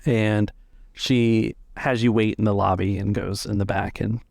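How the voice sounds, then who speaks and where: A man narrating a tabletop role-playing game as game master, describing scenes haltingly in the present tense. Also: fairly low pitch, level tone, gravelly, a little mellow, no room sound, clean studio sound.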